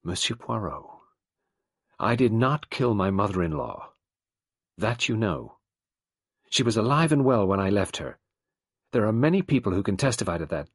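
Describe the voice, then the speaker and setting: A man's voice: fairly low pitch, breathy edge, dry recording, clean studio sound, pitched above the performer's own voice. A man reading the part of a proud young woman who pleads passionately and intensely. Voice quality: passionate, imploring.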